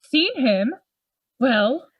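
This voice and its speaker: A woman playing a sober, rational Victorian gentleman in a Gothic radio play, speaking formally. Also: sits high, sing-song, breathy edge, dry recording, dull audio, full character voice. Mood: reasoning. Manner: formal, measured, reasoning.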